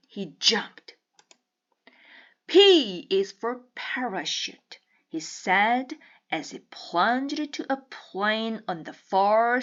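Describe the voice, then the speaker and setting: Slow-paced woman reading a children's storybook. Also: fairly high pitch, a bit bright, dull audio. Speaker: storybook reader. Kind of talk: children's picture book read-aloud.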